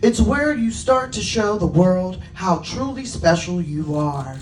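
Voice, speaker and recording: A woman voicing a kind male troll guardian, steady and reassuring, in a storybook reading. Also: on the low side, measured pace, a little hiss or hum. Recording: roomy, distant public-address sound.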